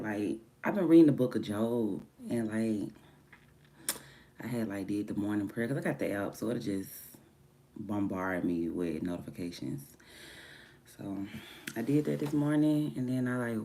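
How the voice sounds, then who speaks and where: A woman chatting casually on a morning livestream from home, her voice deeper than usual from just waking up, rambling and drifting between soft murmurs and livelier bursts. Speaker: livestreamer and music artist.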